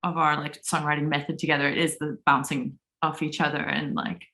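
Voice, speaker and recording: Woman from denmark, lowish voice, musician chatting loose and thoughtful. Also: brisk. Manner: relaxed, thoughtful, trailing off and restarting. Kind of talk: casual interview answers.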